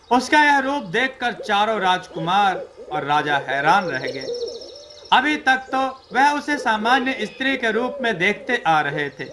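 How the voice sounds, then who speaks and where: A man narrating a scripted folk tale in a measured, declamatory storyteller's way. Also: sits high, fairly bright tone, slight background noise.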